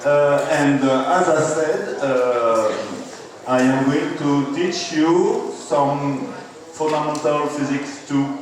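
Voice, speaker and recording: A man, a university physics professor giving a classroom lecture, halting with many fillers and repeated okays. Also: on the high side, a little mellow. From France. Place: large lecture hall. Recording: echoey hall from far off with crowd murmur.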